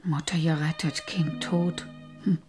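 A woman's voice: low-pitched, steady tone, measured pace, over music. A woman playing a fretting mother at breakfast in a German radio play. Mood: concerned.

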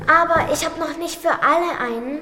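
Cheeky schoolgirl friend for children's radio play: very high voice, confident, brisk, teasing. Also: pushed and bright, slight background noise. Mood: brisk, instructive.

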